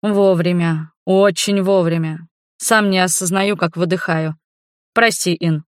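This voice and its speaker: A woman's voice: on the low side, fairly even intonation, unhurried, no echo, studio quality. A woman giving a dramatic first-person narration of a novel as an audiobook reader.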